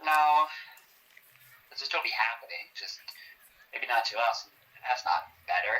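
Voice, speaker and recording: Man plays defiant, excitable occult researcher, bright forceful tone, lively swings, sardonic halting blurts, horror audio drama. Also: mid-range voice, poor audio. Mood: weary, resolute. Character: excitable, sweary, defiant male researcher of cursed artifacts. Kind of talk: horror roleplay dialogue on a call.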